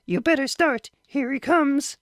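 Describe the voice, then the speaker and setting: A fretful mother who frets over her boy in a high, anxious voice, as a male reader puts her on. The sound is close and dry, with a gravelly edge underneath. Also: very animated, moderate pace, no room sound, heavily acted. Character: worried mother, fussy and protective.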